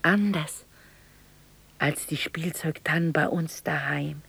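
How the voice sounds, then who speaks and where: An actress playing a lonely, wistful older mother in a radio drama, thinking aloud in a halting, hesitant way. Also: low-pitched, creaky, slightly rough, full character voice. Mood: dreamy. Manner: halting, hushed, hesitant inner monologue. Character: wistful, lonely mother in cheap shoes, yearning. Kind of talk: 1960s German radio drama monologue.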